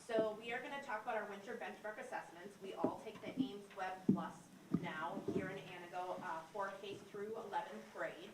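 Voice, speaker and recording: A woman's voice: medium pitch, fairly quick. A woman, a district curriculum director, giving a formal, upbeat presentation to a school board in a meeting room. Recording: echoey room, far from mic, noisy.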